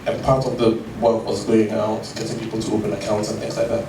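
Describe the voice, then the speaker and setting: A man recounts his story hesitantly, pausing often and restarting his sentences. He is recorded from some distance in an echoey room with clear background noise. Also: fairly low pitch, level tone. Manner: hesitant, many fillers and restarts. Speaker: guest, tech founder. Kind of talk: conversational interview.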